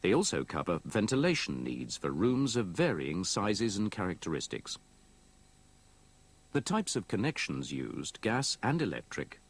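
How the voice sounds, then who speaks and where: A man reads scripted instructional narration in a measured, methodical, explanatory way, copied from an old VHS tape. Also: low-pitched, slightly rough. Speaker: training film narrator.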